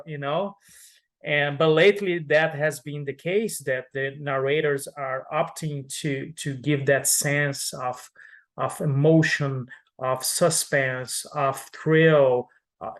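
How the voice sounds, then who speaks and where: A man's voice: mid-range voice, fairly bright tone. Brazilian-accented man, thoughtful interview answers. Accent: Brazilian Portuguese. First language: Brazilian Portuguese. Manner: thoughtful, frequent fillers and restarts. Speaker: audio description manager.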